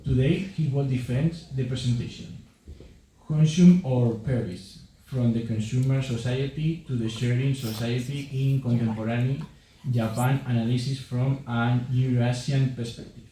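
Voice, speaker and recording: A man giving a halting formal introduction of a guest lecturer. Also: medium pitch, steady tone, warm tone. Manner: halting, stumbles over names. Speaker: event host introducing the speaker. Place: large echoing lecture hall. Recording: echoey hall, faint room noise.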